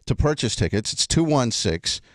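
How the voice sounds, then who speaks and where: A man hosts a radio interview, his pitch swinging in a lively way as he asks questions. He stumbles and restarts often, padding with fillers. The sound is dry and close to the studio mic. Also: no room sound. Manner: halting, many restarts and fillers. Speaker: radio show host.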